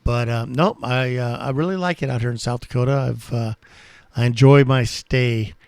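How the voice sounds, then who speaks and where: A man's voice: mid-range voice, normal speed, darkish tone, clean tone, no room sound. A man in his sixties with an American accent, chatting casually as an amateur radio operator. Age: 60s. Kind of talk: casual ham radio chat.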